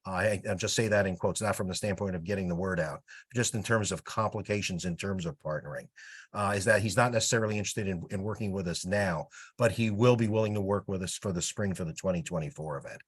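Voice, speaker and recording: A man's voice: low-pitched, quick pace, slightly rough. A man giving an informal, rambling update as a volunteer coordinator on a conference call. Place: remote teleconference.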